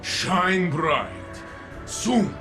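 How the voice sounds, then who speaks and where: An actor playing a hearty veteran warrior who runs a combat tournament in a video game, speaking in a grand, theatrical way. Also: male voice, high voice, very animated, over music, heavily acted. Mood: stern, rousing. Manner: theatrical, grand, measured delivery. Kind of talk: video game character acting.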